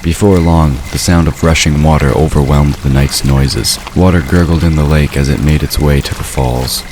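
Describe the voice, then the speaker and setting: A man's voice: extremely low pitch, steady tone, moderate pace. A man narrating a scripted story as the narrator of an audio drama. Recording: clear background ambient noise. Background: ambient sound effects.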